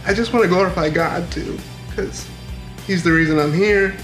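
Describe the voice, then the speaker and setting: A man's voice: high voice, normal speed. A man who is an acting student, giving sincere, earnest personal testimony. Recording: roomy echo with faint music.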